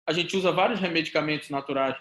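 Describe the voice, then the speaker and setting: Animated Paraense law lecturer, roomy echo. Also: male voice, a little high, fast. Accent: Paraense Brazilian Portuguese. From Pará, Brazil. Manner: animated, talks to students directly, rhetorical questions. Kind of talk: recorded law lesson.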